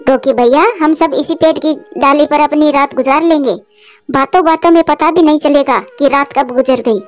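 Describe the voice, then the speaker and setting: A woman's voice: high voice, normal speed, faint music behind, lightly acted. A woman voicing a kind, sensible little female sparrow in a children's story cartoon. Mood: practical. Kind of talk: Hindi children's moral story cartoon dialogue.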